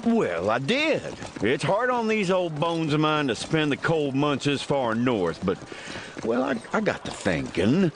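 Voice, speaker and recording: An actor playing a wry, feisty old cowboy drifter with a folksy Texan drawl in a dramatized Western audiobook. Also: male voice, on the high side, wildly swooping pitch, a little slow, a little hiss or hum, heavily acted. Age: older.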